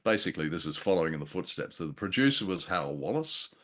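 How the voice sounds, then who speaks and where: A middle-aged man with a very deep voice hosts a casual film chat. He talks haltingly, with a jocular streak, as he works through his points. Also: sing-song, sharp tone. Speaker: podcast host.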